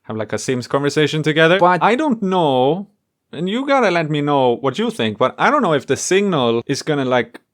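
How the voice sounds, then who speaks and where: An excitable man with a slightly high voice and very lively intonation. He talks casually and playfully, working out his thoughts aloud as he demonstrates something to his viewers. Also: fairly quick. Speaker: host and musician demonstrating homemade experimental gear. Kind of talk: casual spontaneous demo to camera.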